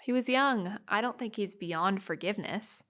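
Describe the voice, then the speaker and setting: A woman acting a thoughtful, forgiving teenage girl who gives measured testimony in an audio drama. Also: medium pitch, a bit bright, lightly acted. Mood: understanding. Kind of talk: audio drama monologue, police interview testimony.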